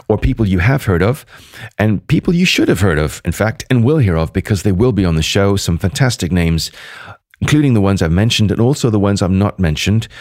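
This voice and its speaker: A hoarse man hosting a podcast, wrapping up a solo episode and previewing guests, talking rather quickly with frequent restarts. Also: deep voice, a little mellow, no echo, clean studio sound. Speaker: podcast host. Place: at a sales conference.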